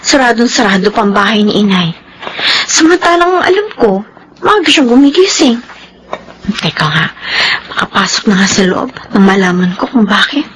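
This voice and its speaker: A woman's voice: a little high, lively intonation, normal speed, a bit bright, slight background noise. A woman playing a grieving, tender adult daughter in a Filipino radio drama, with a Filipino accent. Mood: puzzled, uneasy. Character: grieving adult daughter who finds her mother dead, tender. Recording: music behind the voice.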